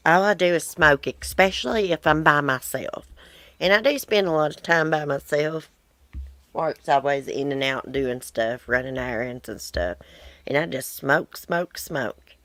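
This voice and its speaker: A woman's voice: low-pitched, unhurried, a bit bright, no room sound. A woman hosting a casual live chat from her porch, reading viewer comments in a blunt, rambling way, sounding wheezy. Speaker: livestream host. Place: outdoor porch.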